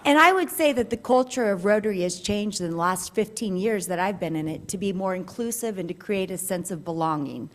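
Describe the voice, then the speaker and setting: A woman presiding over a club meeting, giving upbeat, brisk announcements. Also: mid-range voice, a little hiss or hum.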